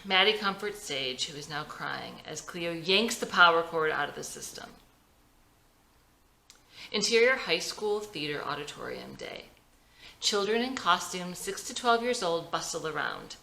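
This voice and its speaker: A woman's voice: fairly low pitch, lively intonation, unhurried, creaky, bright, forceful tone. A woman reading scene directions from a screenplay as scripted narration in a dramatic table read. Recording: roomy echo.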